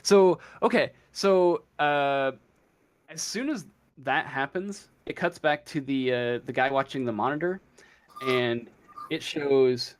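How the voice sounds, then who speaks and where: A man improvising narration as game master of a tabletop role-playing game, halting as he thinks aloud. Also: mid-range voice, clear, resonant tone.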